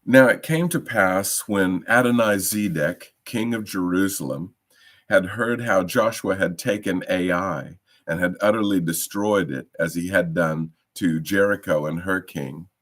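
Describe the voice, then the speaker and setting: Slow, deep-voiced man leading Bible study. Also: a bit bright. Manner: hesitant, many ums and restarts. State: slightly croaky from a cough. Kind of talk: informal Bible study teaching.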